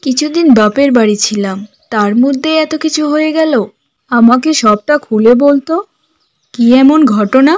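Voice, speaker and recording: A woman's voice: sits high, moderate pace, natural voice. A woman playing a nosy, scheming village gossip in melodramatic cartoon dialogue. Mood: nosy, curious.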